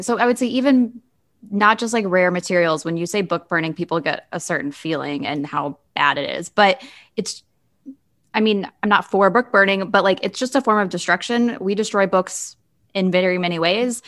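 A woman's voice: medium pitch, normal speed, fairly bright tone. A woman hosting a casual group discussion in a chatty, informal way, often saying "like". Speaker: podcast host.

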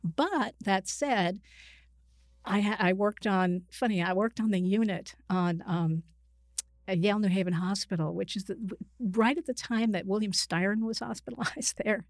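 An older woman, a psychiatrist guest speaking conversationally in an interview, halting and reflective, often qualifying herself mid-sentence. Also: mid-range voice, no room sound, clean studio sound. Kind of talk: conversational interview, recounting clinical experience.

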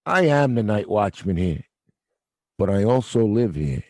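A man playing a gruff, kindly night watchman. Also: fairly low pitch, big pitch swings, a little slow, darkish tone, no echo, studio quality. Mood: confiding. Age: middle-aged. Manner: wry, world-weary, fatherly. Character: middle-aged male theater night watchman, gruff but kind. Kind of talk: audio play acting.

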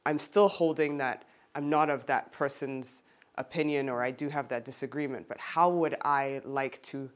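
A man's voice: mid-range voice, fairly even intonation, moderate pace. A man in a group discussion, searching for his words, often circling back and correcting himself. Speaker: discussion participant.

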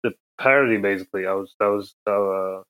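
A middle-aged man from Egypt, chatting as an interview guest in a hesitant, halting way. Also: on the low side, a bit bright, clean tone. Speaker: podcast guest, comic creator. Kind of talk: casual interview chat.

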